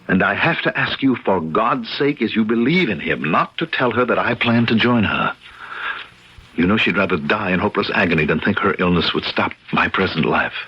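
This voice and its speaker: An actor playing a determined, commanding wealthy husband in a 1970s radio drama, earnest, forceful and formal. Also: male voice, on the low side, lively intonation, moderate pace, husky. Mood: urgent, pleading. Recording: dull lo-fi old radio recording.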